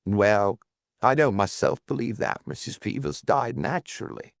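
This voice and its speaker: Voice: medium pitch, normal speed, dry recording, lightly acted. A narrator voicing a restrained, shrewd man playing detective in an audiobook, terse and dry in manner. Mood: dry, thoughtful. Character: restrained, shrewd, grimly impatient amateur sleuth man.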